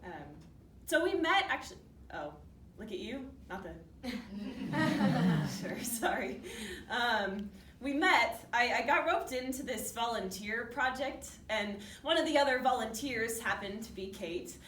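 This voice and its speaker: Woman doing a chatty nervous widow in a stage monologue, halting, kinda high voice, echoey room. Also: expressive, fairly bright tone. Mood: fond, flustered. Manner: halting, conversational, with nervous asides. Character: grieving upbeat widow from Seattle, chatty and nervous. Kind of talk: dramatic monologue staged as a documentary interview. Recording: distant roomy hall recording with faint noise.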